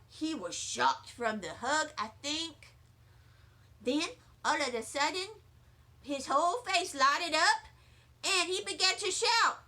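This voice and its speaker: A woman narrates a children's storybook with expressive, childlike phrasing. Her voice is high and bright, and she reads at an easy, slowish pace with lots of melody. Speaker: teacher reading aloud.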